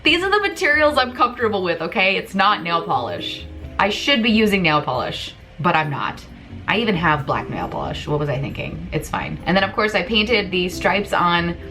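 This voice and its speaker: A woman's voice: lowish voice, very animated, normal speed, sharp tone, faint music behind. A woman in her thirties chatting casually at home as a craft vlogger, joking and self-deprecating as she explains her work. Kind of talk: casual tutorial chat. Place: home room, indoors.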